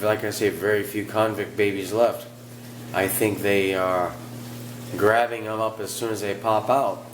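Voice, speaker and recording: Hoarse low-voiced man rambling about his fish. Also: reverberant, background noise. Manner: relaxed, rambling, with fillers and restarts. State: hoarse from illness. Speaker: aquarium hobbyist channel host. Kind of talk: casual narration over tank footage. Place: at home by a large aquarium.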